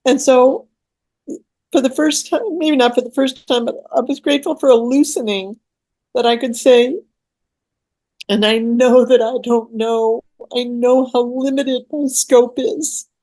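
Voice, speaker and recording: Woman sharing in a satsang on a video call, earnest and searching, kinda high voice. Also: fairly even intonation, unhurried, dark tone, no echo. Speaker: satsang participant. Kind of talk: sharing in group talk.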